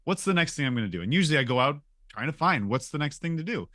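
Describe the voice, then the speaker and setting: A man's voice: a little high, sing-song, fast talker, a bit bright, no echo. Informal male podcast host, dry recording. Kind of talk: casual podcast conversation.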